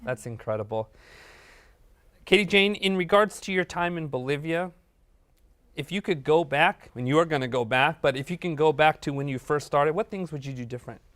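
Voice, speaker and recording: A man's voice: fairly high pitch, normal speed, a bit bright, no room sound. A man hosting a faith interview, affirming his guests' answers and asking follow-up questions. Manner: encouraging, affirming. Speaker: host.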